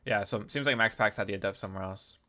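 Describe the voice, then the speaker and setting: A man who is sick and sounds a bit nasal, casually breaking down a game replay as a video host, often clearing his throat. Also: on the low side, racing, sharp tone. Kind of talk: casual game analysis commentary.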